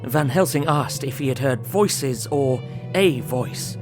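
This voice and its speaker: Sombre young husband narrating his diary. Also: medium pitch. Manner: sombre, measured. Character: grave, troubled young husband recounting events in diary. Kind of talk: audio drama diary narration. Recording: music under the voice.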